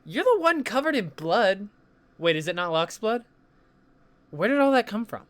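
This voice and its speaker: Concerned best-friend student for audio drama, male actor; animated pitch, casual, unsure, kindly. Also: moderate pace, no echo. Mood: alarmed. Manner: hesitant, casual.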